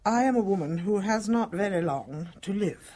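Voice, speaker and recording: Elderly British woman, mid-pitched voice, measured, formal, composed, secretive dying matriarch, radio drama actress. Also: lively intonation, dark tone, natural voice. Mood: grave, confiding. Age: older.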